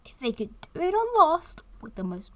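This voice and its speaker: A woman acting a gravely ill young woman in an audio drama, weak and croaky yet sarcastic and stubborn. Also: sits high, sing-song, normal speed. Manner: sarcastic, teasing.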